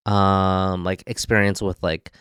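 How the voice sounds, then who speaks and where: A man's voice: low voice, clean tone, no echo, studio quality. A man in his thirties with a Midwestern accent, co-hosting a podcast in casual chat full of "like"s. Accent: Midwestern American. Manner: relaxed, filler-heavy, drawn-out "like"s. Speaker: podcast co-host. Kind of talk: casual chat reviewing music. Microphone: podcast mic.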